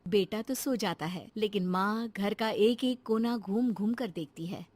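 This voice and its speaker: A woman's voice: mid-range voice, measured pace, darkish tone. A woman narrating a scripted moral story in the third person.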